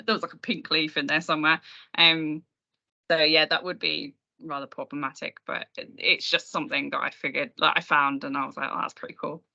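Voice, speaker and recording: A woman's voice: deep voice, sharp tone. A woman chatting informally and self-deprecatingly as a speaker at an online meetup, over a video call. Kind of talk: informal Q&A chat about design software. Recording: dull, compressed online call.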